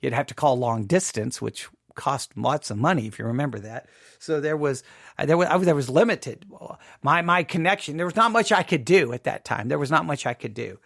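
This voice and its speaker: A man's voice: fairly high pitch, lively intonation, brisk, vocal fry, a bit bright, no room sound, clean studio sound. A man hosting a podcast, talking informally about personal matters in an emphatic way, often repeating phrases for emphasis. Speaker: podcast host. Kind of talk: informal talk and personal commentary.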